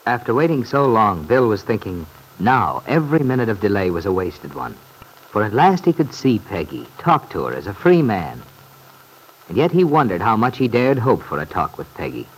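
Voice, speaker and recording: A man's voice: fairly low pitch, very animated, normal speed, husky, low-quality recording. A man narrating an old-time radio serial in scripted storytelling style.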